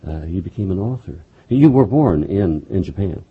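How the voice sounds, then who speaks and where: A man in his fifties from Houston hosting an interview, asking questions in a halting way with many fillers. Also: sits low, lively intonation, slightly rough. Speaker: interview host. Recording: dull lo-fi recording.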